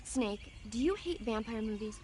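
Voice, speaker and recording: A woman's voice: on the high side, expressive, moderate pace, background noise, natural voice. A woman voicing an earnest, knowledgeable female adviser in a video game, speaking in an informative way. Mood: teasing, curious. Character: knowledgeable female radio support adviser, earnest film buff.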